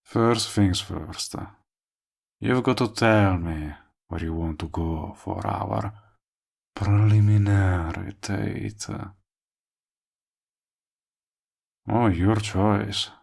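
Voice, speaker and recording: A man acts out a lovestruck villain in a romantic audio roleplay. His voice is very low and full of gravelly fry, and he takes his time with every line. He sounds smug and teasing, yet tender, as he courts the listener up close. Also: animated, darkish tone, exaggerated voice. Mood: pleased, courting. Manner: slow, murmuring, teasing. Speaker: voice actor.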